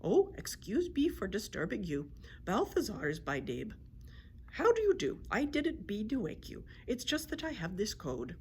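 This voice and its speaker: A woman's voice: medium pitch, wildly swooping pitch, moderate pace, slight background noise, voice pushed up. A woman voicing a small, polite but indignant male dragon with a head cold in a children's storybook. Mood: polite, apologetic. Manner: courteous, fussy, complaining.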